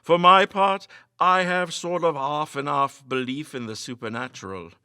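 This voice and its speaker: A man voicing a bland, wry, adventurous gentleman who teases his friends in a storybook reading. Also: fairly high pitch, moderate pace, clean tone, dry recording, studio quality.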